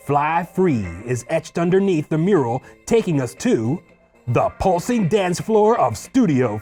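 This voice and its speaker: A man reading screenplay stage directions in a husky voice, as scripted narration at a table read. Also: fairly high pitch, darkish tone.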